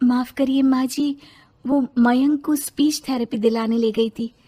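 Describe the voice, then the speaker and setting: A woman voicing a gentle, patient young wife and mother, polite and soft-tempered, in a cartoon moral story. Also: high voice, fairly even intonation, measured pace, breathy edge. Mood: placating.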